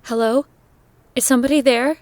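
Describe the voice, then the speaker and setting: An actress playing a frightened, guilt-ridden lonely woman, tremulous and halting, in a horror audio drama. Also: high-pitched, steady tone, a little mellow, no echo, natural voice. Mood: panicked.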